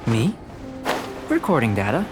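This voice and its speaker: An actor playing a calm young male alchemist in a video game, detached yet curious and courteous, speaking in measured, formal, analytical phrases. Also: medium pitch, loud music behind the voice.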